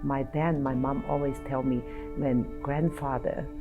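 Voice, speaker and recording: Older woman, halting speech. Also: rumbling low, little pitch movement, phone-quality sound, music in the background. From China. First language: Toisanese. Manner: halting, searching for words. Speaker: interviewee. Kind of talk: documentary interview.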